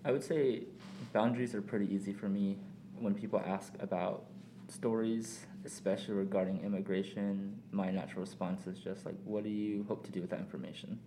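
Low, flat-voiced Korean-born man. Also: background noise. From South Korea. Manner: thoughtful, measured, many pauses and fillers. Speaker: podcast guest. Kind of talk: casual reflective conversation.

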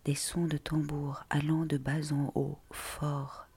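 A man reads a literary monologue at a slow pace. His voice is breathy and often slips toward a half-whisper. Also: on the high side, monotone, darkish tone. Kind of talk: literary text read aloud as a monologue.

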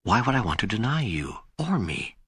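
A man's voice: lowish voice, sing-song, normal speed, fairly bright tone, husky, dry recording, clean studio sound, full character voice. A man voicing an earnest, troubled seeker who questions God in an audiobook dialogue, speaking with emphasis. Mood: troubled, pleading.

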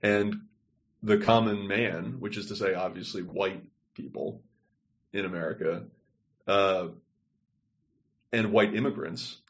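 A man's voice: low voice, steady tone, unhurried, long pauses, muffled recording. A man co-hosting a podcast, talking in a digressive, think-aloud way over a remote call. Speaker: podcast co-host. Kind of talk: casual discussion.

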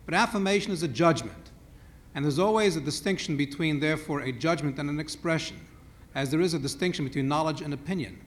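A man's voice: a little high, fast. Precise, argumentative man at a panel. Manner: precise, argumentative, draws distinctions. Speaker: panel discussant. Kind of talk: analytical debate remarks.

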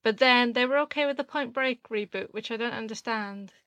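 A young woman hosting a film podcast, chatting casually with lots of fillers and quick laughs. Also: fairly high pitch, a bit bright, no room sound. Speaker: podcast host. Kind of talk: casual chat.

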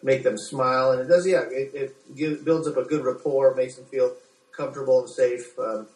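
An American man gives informal interview answers in a medium-pitched voice. He is hesitant and often doubles back on his words. He sounds set back from the microphone in a clearly echoey room. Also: fairly even intonation, distant mic. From United States. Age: 40s. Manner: halting, hesitant, repeats words. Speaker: doctor being interviewed.

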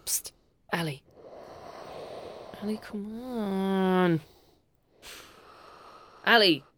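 A woman's voice: mid-range voice, very slow, fairly bright tone, slight background noise. A woman with an Irish accent playing a bold, playful young girl, a bossy and teasing best friend, in a naturalistic audio drama. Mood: coaxing. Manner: cheeky, coaxing, colloquial banter.

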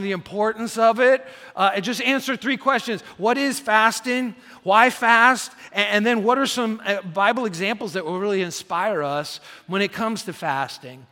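A man's voice: high-pitched, normal speed, few pauses. A middle-aged man preaching a sermon as pastor, casual and often repeating words at the start of phrases. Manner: loud rallying shouts of "three claps". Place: large church hall. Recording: long hall reverb.

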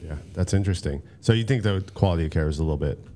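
A man with a very deep, dark voice co-hosts a podcast and talks quickly. He mostly chimes in with quick agreement and brief follow-up questions. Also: a little hiss or hum. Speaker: podcast co-host. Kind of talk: casual chat.

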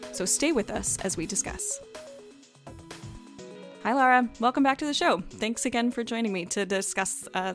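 A woman hosts a podcast in a measured, scripted manner, with a voice of ordinary middle pitch. Faint music sits behind her. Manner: measured, with small filler restarts. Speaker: podcast host. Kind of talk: scripted podcast intro and interviewing.

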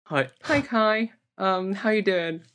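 A woman talking as a guest in a casual podcast interview, chatty and quick with repeated words. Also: mid-range voice, a bit bright.

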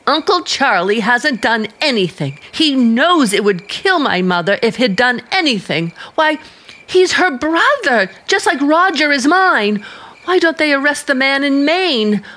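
A woman's voice: high-pitched, very animated, a little slow, fairly bright tone, exaggerated voice. An American actress playing an earnest, restless young small-town woman in a radio drama. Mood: alarmed, defensive. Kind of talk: old-time radio drama acting.

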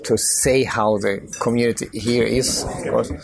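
Gravelly man in a busy room. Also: mid-range voice, fairly even intonation, normal speed, crowd noise. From Sweden. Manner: hesitant, restarts often, tag questions. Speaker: interview guest, artist. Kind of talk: casual conversation.

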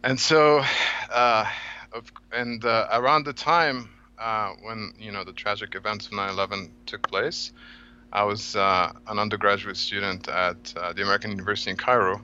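A man from Egypt in his thirties, a guest author on a podcast, telling a long story with many halting fillers. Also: fairly low pitch, steady tone, sharp tone, highs cut off, slight background noise. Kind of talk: podcast interview, reflective storytelling.

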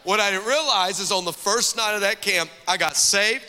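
A man in his forties from the Texas–Mexico border, a guest pastor preaching a lively, joking sermon and calling out to the crowd in a large church hall. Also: high-pitched, normal speed. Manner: energetic, joking, calls out to the crowd. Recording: long hall reverb from a church sanctuary.

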